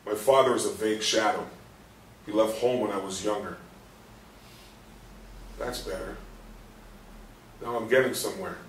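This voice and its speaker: An actor playing an angry, frustrated hospital patient in a stage play reading, anguished, demanding and given to swearing. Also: male voice, on the low side, steady tone, moderate pace, pauses often, lightly acted. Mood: brooding, musing. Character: angry, frustrated male hospital patient trapped after a coma. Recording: roomy distant recording with faint noise.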